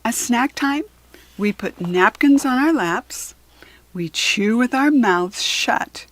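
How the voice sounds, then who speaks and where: A woman with an American accent reading a children's story aloud, expressive and clearly phrased for young learners. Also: on the high side, slow pace, poor audio. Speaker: children's book reader.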